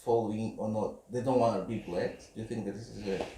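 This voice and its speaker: A man's voice: lowish voice, fairly even intonation, brisk, warm tone, echoey room. A male interviewer asking hesitant questions with fillers.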